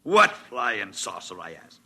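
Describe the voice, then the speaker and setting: A man playing a plain-spoken, excitable beat cop with a rough voice and colloquial storytelling, in 1950s radio drama style. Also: on the low side, slow, heavily acted. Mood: excitable, insistent. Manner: colloquial, working-class storytelling.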